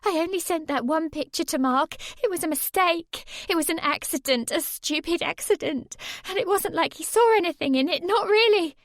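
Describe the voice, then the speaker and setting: A woman's voice: very high voice, moderate pace, hardly any pauses, no echo, studio quality, pitched above the performer's own voice. A woman voicing a frightened, pleading teenage girl. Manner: desperate, tearful pleading.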